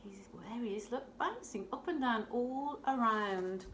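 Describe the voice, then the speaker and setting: A woman reading a bedtime story to young children, expressive and talking straight to them, sometimes dropping to a hush. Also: a little high, a little slow, a little hiss or hum. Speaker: primary school storyteller.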